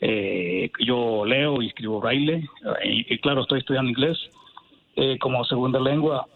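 A man with a Salvadoran accent calling in to a radio show, speaking politely and haltingly about himself. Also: mid-range voice, vocal fry, slight background noise. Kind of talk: personal account in a casual radio call. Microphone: phone. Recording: dull band-limited phone line to radio show.

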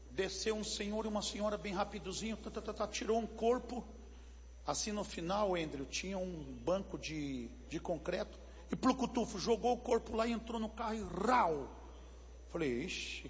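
High-voiced pastor in a reverberant hall. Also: male voice. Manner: dramatic storytelling with sound words. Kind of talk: preaching testimony sermon. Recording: muffled broadcast with hall reverb and hum.

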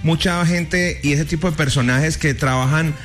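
A middle-aged man, a radio co-host giving measured, reflective comments. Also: a little high, fairly bright tone, soft background music. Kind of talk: casual radio chat.

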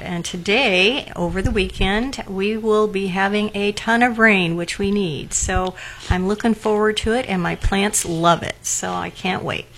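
A woman co-hosting a radio show, giving a relaxed, giggly weather chat. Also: mid-range voice, a little hiss or hum. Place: radio studio.

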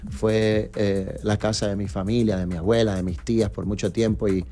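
A man's voice: on the low side, little pitch movement, normal speed, mellow, a little hiss or hum. A middle-aged man from New York, a reggaeton artist talking casually as an interview guest, easygoing and full of fillers. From New York, United States. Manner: easygoing, storytelling, many fillers.

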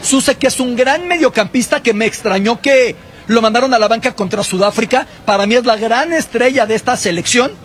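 A man's voice: extremely high pitch, fairly quick, a bit bright, slightly rough. A man giving conversational football analysis as a correspondent. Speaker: football commentator, guest correspondent.

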